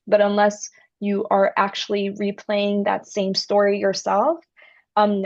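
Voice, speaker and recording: Gravelly-voiced woman explaining with check-ins. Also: medium pitch, completely flat delivery, unhurried, no room sound, lo-fi sound. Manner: earnest, explains with frequent "right?" check-ins. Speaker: author and guest. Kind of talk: explanatory interview talk.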